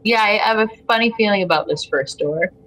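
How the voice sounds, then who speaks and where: Female player, husky yet bright and forceful, casual, questioning, skeptical. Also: medium pitch, brisk, poor audio. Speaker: game player, guest. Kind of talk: casual chat.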